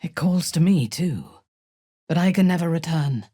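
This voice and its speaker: A woman playing a haughty, ruthless dark elf warrior in a fantasy video game, with an imperious, deliberate, formal delivery. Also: deep voice, lively intonation, no echo, studio quality. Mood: cold, imperious.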